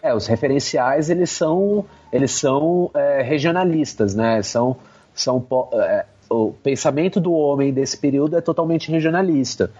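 A man's voice: on the high side, moderate pace, dark tone, breathy edge. A Brazilian man co-hosting a podcast, casually explaining history. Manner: explanatory, informative. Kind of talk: casual conversational chat on history. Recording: slightly dull lo-fi sound.

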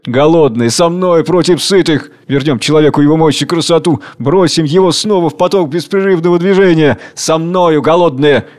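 A man voicing a furious, raving tyrant king of hunger, declaiming and commanding loudly. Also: a little high, normal speed, lightly acted. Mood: raging.

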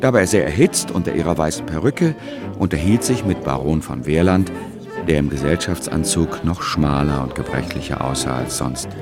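A man's voice: rumbling low, animated, normal speed, music in the background. A man as the observant literary narrator of a radio drama about society life. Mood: measured, descriptive.

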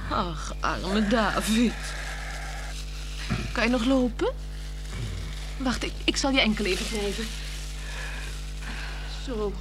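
An actress playing a playful, flirtatious married woman in a radio play, teasing and coaxing, moving between hushed words and exclamations. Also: medium pitch, slow pace, pauses often, lightly acted. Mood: concerned, coaxing. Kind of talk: 1960s Dutch radio play acting. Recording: 1960s radio drama with music.

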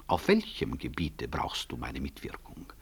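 An actor playing a proud, upright medical director, curt, precise and dryly ironic in a radio drama. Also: male voice, rumbling low, wildly swooping pitch, a little slow, slightly rough, heavily acted. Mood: cool, polite. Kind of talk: 1960s German radio drama acting.

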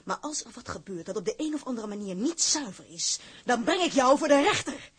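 An actress playing a bold, loyal captain's wife in a Dutch period drama, blunt and defiant in manner. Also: female voice, a little high, sing-song, fairly quick, husky, exaggerated voice. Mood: defiant, determined.

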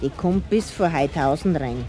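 A woman with a Bavarian accent in casual, gossipy conversation. Her pitch is very animated, and she talks colloquially and with emphasis. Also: normal speed.

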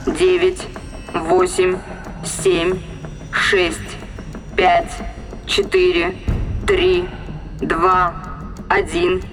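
Woman playing a calm, low-voiced ship computer. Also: low-quality recording. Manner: measured, formal system announcements and countdown. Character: female ship's computer "Mama", calm, obedient, precise. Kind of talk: audio drama acting.

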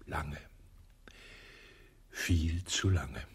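An actor playing a sly colonel under police questioning in a radio play, with a husky voice, evasive and dryly sarcastic, often dropping to a whisper. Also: male voice, extremely low pitch, extremely slow, exaggerated voice. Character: sly elderly male colonel, suspected wife-killer.

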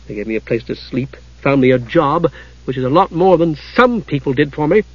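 A man's voice: a little high, expressive, brisk, slightly rough, dry recording, lo-fi sound. A man voicing a defiant, secretive young woman thief in a fantasy audiobook, earnest and defensive.

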